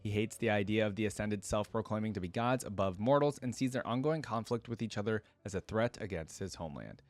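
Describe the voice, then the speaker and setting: A man's voice: on the low side, quick pace, no room sound. Man doing casual reaction commentary, dry close sound. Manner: conversational, opinionated, addresses viewers directly. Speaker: reaction video host. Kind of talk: casual reaction commentary, partly reading a wiki aloud.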